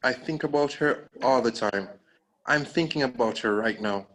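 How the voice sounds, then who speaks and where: An actor at a table read playing a brooding, guilt-ridden man in a thriller drama, measured and introspective. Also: medium pitch, a bit bright, lightly acted, low-quality recording.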